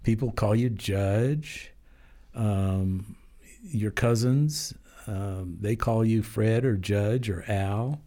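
An older man from Denver, a judge, chatting in an interview, speaking hesitantly with many pauses and fillers and frequent chuckles. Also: lowish voice, mellow. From Denver, Colorado. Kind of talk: casual interview chat.

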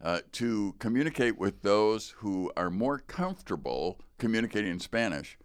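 American male podcast host, middle-aged. Low pitch, clean resonant tone, conversational and explanatory, close dry sound. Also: expressive, moderate pace, clear, resonant tone, no room sound. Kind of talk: conversational interviewing. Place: podcast studio.

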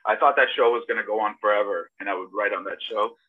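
A man's voice: on the low side, fairly even intonation, fairly quick. A middle-aged man from Serbia and Toronto, a screenwriter guest answering casually with personal anecdotes over an internet call. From born in Serbia, grew up partly in Toronto, Canada. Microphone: video call. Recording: thin, phone-like internet call audio.

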